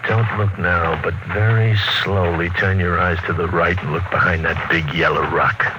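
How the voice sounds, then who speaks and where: A man playing a tough, slangy military sidekick in an old-time radio drama, gruff and wisecracking. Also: sits low, fairly even intonation, normal speed, dull audio, close to an everyday voice. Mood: wary, hushed. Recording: noisy vintage radio recording.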